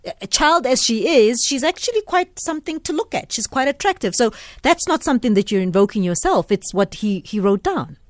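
A South African woman hosting a radio interview, putting her points and questions in a measured, deliberate way. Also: on the high side, big pitch swings, no room sound, studio quality. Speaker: radio host. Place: radio studio.

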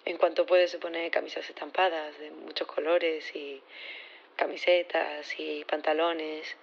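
A woman acting as a nervous science professor on the witness stand in an audio drama, halting, unsure and stammering. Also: deep voice, level tone, creaky, highs cut off, full character voice. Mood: hesitant, earnest. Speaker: actor in audio fiction.

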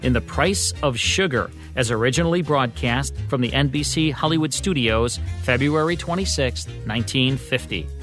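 A man's voice: mid-range voice, normal speed, few pauses, fairly bright tone, soft background music. An American man reading scripted narration as co-host of a podcast. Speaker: co-host of old-time radio podcast.

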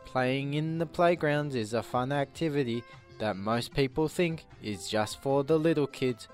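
A man playing an earnest, slow-witted host of an instructional video, explaining things in repetitive circles. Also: medium pitch, natural voice. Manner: repetitive, circular, over-explaining.

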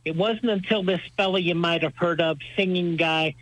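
Higher-pitched older American man, dry studio sound. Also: fairly even intonation, normal speed, fairly bright tone, dry recording, low-quality recording. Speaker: radio show host being interviewed as guest. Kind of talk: conversational radio interview, storytelling.

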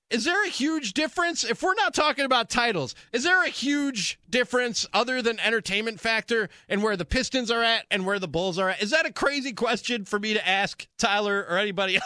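Bright, high-pitched American sports radio host. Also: male voice, no echo, clean studio sound. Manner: emphatic, conversational, repeats phrases for emphasis. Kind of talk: sports talk radio monologue.

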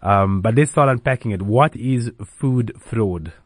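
A man's voice: medium pitch, measured pace, no room sound, clean studio sound. A man hosting a radio interview, speaking hesitantly with many fillers. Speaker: radio host. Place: radio studio.

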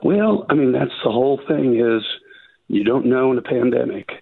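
A man with a raspy, husky voice that has gone gravelly from illness. He speaks over a thin, phone-like line as a call-in guest. He restarts his sentences often and talks in a halting way. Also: medium pitch, lively intonation. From Arkansas. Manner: halting, many restarts and fillers. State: raspy voice after covid. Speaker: judicial candidate, phone guest.

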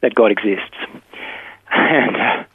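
A middle-aged man from South Africa, a teacher and filmmaker, telling his story thoughtfully with many pauses and fillers over the phone from a school room. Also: fairly low pitch, slightly rough, no echo. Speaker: interview guest, teacher and filmmaker. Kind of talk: phone interview, casual storytelling. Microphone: telephone. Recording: tinny dry phone line.

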